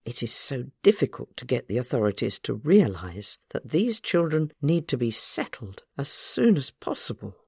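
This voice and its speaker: A brisk, careful female matron of a children's home, as a woman storyteller reads her. She is formal and efficient yet compassionate, with a gravelly edge and a dry, close sound. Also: very deep voice, big pitch swings, a little mellow, no room sound, heavily acted. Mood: cautious, efficient.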